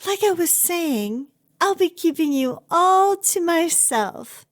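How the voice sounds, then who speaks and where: A woman's voice: very high-pitched, animated, slow pace, full character voice. A woman playing an obsessive, lovestruck kidnapper in an ASMR roleplay, sweetly chatty and possessive, rambling with hesitant asides to the listener.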